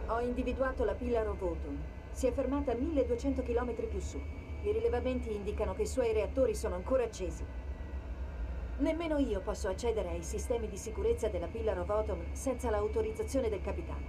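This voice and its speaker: A woman's voice: on the high side, fast, background noise, natural voice. An actress playing a female military AI companion in a video game, precise, articulate and matter-of-fact, with an urgent edge. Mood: focused, brisk. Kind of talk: video game voice acting in Italian dub.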